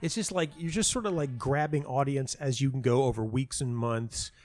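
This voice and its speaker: A man talking casually as an interview guest, telling anecdotes in an animated, emphatic way and often repeating himself for stress. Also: mid-range voice, moderate pace. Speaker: interview guest, playwright and audio dramatist.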